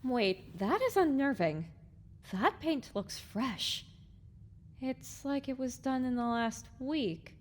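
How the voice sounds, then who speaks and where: A woman playing a spirited, earnest young mare heroine with an expressive, wry delivery in an audiobook drama. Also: high voice, takes it slowly, exaggerated voice. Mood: wondering, uneasy.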